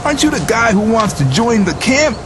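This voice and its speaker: A scheming thug from a fantasy game, sly and persuasive. His voice is high for a man and swings widely in pitch, with a little music behind. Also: sing-song, brisk. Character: scheming, falsely friendly male camp thug. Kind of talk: fantasy video game dialogue.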